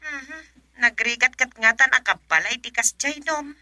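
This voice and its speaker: An actress playing a skeptical, teasing village woman with an Ilocano accent and drawn-out delivery in a radio comedy. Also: high voice, bright, forceful tone, no room sound. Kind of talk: comedic radio drama acting.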